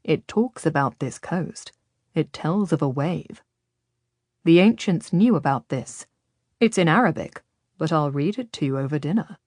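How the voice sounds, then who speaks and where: A woman's voice: low-pitched, expressive, normal speed, darkish tone, dry recording, clean studio sound. A woman voicing a confident, teasing Ottoman galley commander, a man, in an audiobook story. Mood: tempting. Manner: abrupt, teasing, tempting.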